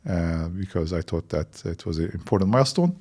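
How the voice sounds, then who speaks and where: A man of about fifty from Turkey with a Turkish accent, answering interview questions reflectively with halting phrasing. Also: low voice, lively intonation, darkish tone, slightly rough. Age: around 50. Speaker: interview guest, technology entrepreneur.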